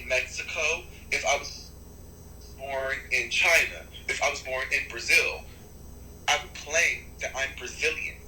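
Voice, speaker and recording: A man who is a rapper and artist gives long, animated interview answers, often restarting his sentences and swearing for emphasis. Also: mid-range voice, roomy sound, slight background noise, low-quality recording. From United States.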